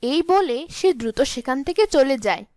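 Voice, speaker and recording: Lady narrating a fairy tale, high voice, scripted storytelling, dry recording. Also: expressive, normal speed, a little mellow, no room sound.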